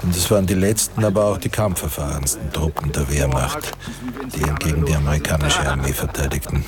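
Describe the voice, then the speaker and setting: Very deep-voiced grave war narrator. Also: male voice, fairly even intonation, a little fast, over a noisy crowd. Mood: grave, measured. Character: grave male narrator of a wartime story. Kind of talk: literary radio drama narration. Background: music.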